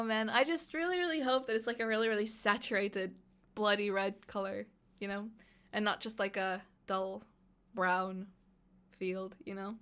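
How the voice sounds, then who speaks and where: A young woman, a native Russian speaker, chatting excitedly on a live stream and reading out chat questions. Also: fairly high pitch. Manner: chatty, excitable, thinks aloud with fillers.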